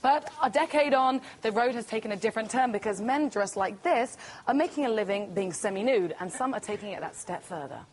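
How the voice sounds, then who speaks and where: A woman's voice: on the high side, lively intonation, a little fast, few pauses. A woman presenter opening a report in a cheeky, punchy way. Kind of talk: scripted report intro.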